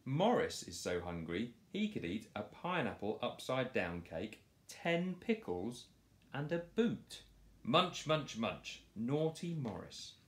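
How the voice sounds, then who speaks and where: A man in his thirties reading a picture book aloud to children in a playful, expressive storytelling style. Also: mid-range voice, takes it slowly. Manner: animated, playful delivery. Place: small living room, on a sofa indoors. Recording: roomy home echo.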